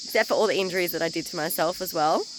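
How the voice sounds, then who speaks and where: A woman's voice: lowish voice, racing, background noise. A woman with an Australian accent chatting frankly to camera about her caravan trip, rambling a little and losing her voice from illness. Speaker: travel vlogger. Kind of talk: casual chat to camera.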